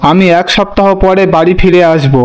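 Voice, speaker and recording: Clear high-voiced earnest young husband by a man. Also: fairly quick, close to an everyday voice. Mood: reassuring. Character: devoted, trusting young husband and dutiful son. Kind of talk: Bengali moral-story cartoon voice acting. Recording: music bed under the voice.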